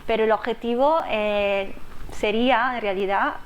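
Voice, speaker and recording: A woman researcher answers audience questions about her work and feels her way through each reply, pausing, restarting and filling gaps as she thinks. There is a faint noise of the room behind her. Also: a little high, fairly even intonation, highs cut off. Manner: hesitant, many fillers and restarts. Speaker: researcher presenting her project. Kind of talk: academic talk, answering questions.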